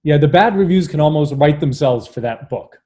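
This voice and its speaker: A man with a fairly high, relaxed voice in an informal panel conversation. He sounds mellow and speaks casually, as a librarian chatting with colleagues. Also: a little fast.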